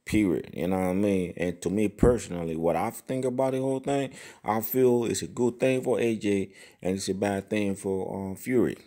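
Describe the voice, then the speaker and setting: A man's voice: lowish voice, steady tone, normal speed, warm tone. A man hosting a boxing channel, giving casual, profane commentary and often asking "you know what I mean?".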